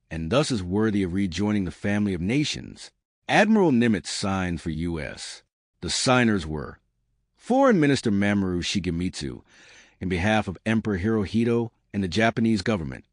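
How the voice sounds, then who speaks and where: A man reads old newspaper reports as a narrator, in a formal, measured, scripted news delivery. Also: on the low side, no room sound, clean studio sound. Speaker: narrator reading newspaper articles. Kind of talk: scripted news reading of archival headlines.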